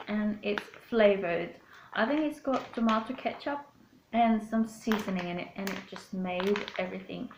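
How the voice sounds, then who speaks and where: A woman in her thirties from the Philippines with a Filipino accent, chatting casually and rambling as she unboxes food for her vlog, often breaking into laughter. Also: on the high side. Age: 30s. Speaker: vlogger. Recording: echoey room with clear background noise.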